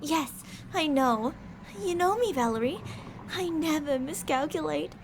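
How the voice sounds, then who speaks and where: A very high female voice put on by a woman plays an unhinged mad scientist in an ASMR roleplay. She is cocky, blunt and theatrical, with some noise in the background. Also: unhurried. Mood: cocky, nonchalant. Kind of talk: ASMR roleplay audio drama.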